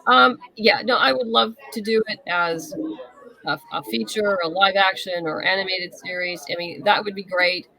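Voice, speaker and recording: A woman's voice: mid-range voice, steady tone, normal speed, gravelly, pushed and bright, poor audio. A woman answering as an interview guest in casual chat, easygoing, modest and thoughtful.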